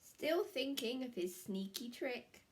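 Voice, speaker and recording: English woman teacher, unhurried storytime reader, gentle and fairly high-pitched. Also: expressive, a little hiss or hum. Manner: gentle, slow, asks the listening children questions. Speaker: primary school teacher. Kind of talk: reading a picture book aloud to children.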